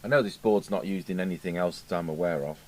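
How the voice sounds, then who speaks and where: Deep-voiced British man at a workbench. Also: a little mellow. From United Kingdom. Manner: unscripted, musing aloud, hesitant pauses. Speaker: radio repair technician. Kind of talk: informal hands-on workbench demonstration. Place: indoor radio repair workbench. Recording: clearly noisy home workshop recording.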